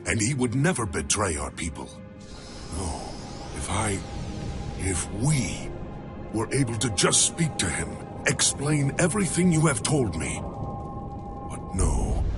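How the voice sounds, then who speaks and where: Resolute alien warrior, low voice, expressive, formal, music score behind. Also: male voice. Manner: earnest, formal. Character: alien warrior turned rebel, earnest, resolute. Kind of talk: video game cutscene acting.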